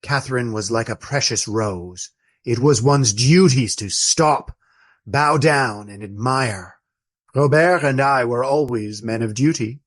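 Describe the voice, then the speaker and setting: A man playing an old friar in a radio play, speaking in formal, ornate, deliberate phrases with gallant fondness. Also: mid-range voice, natural voice. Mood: gallant, fond. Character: courtly old Trappist friar, fond, wry, gallant storyteller.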